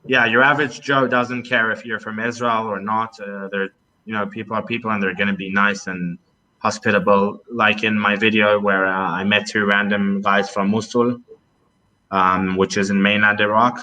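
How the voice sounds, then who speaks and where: A man's voice: lowish voice, flat delivery, moderate pace, sharp tone, dull audio, slight background noise. A young man talking casually as a podcast guest, hesitant, with many fillers and restarts. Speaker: podcast guest, travel video maker. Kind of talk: casual conversation.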